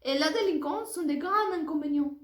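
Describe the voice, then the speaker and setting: A young woman with a high voice and a Mexican accent, a native Spanish speaker. She delivers a prepared student presentation in a careful, formal way. The sound is noticeably echoey, as from a reverberant room. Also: moderate pace. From Puebla, Mexico. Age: early 20s. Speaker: language student. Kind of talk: prepared oral presentation for a French class.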